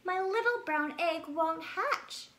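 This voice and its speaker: A woman's voice: very high voice, slow pace, fairly bright tone, a little hiss or hum, voice pushed up, heavily acted. A woman voicing an earnest, stubborn little boy bear cub, firm and self-important in a childlike way, in a storybook. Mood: impatient, curious.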